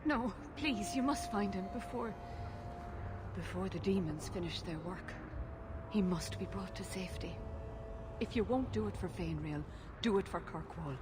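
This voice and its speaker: Woman doing worried devoted game mom, imploring, medium pitch, background noise. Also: animated, moderate pace, close to an everyday voice. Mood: pleading, fearful. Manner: earnest, imploring. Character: anxious, pleading elven mother, desperate and devoted. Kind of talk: video game voice acting. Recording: noisy game capture with ambience.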